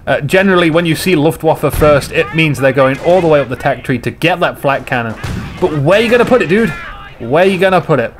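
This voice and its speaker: Jokey man, slightly hoarse. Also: a little high, a little hiss or hum. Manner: rambling, jokey, chats to viewers. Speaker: game commentator. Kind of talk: casual replay commentary.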